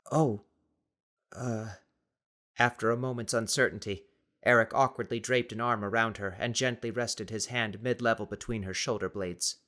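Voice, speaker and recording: A man's voice: fairly low pitch, pushed and bright. A man reading scripted fiction as a dramatic audiobook narrator.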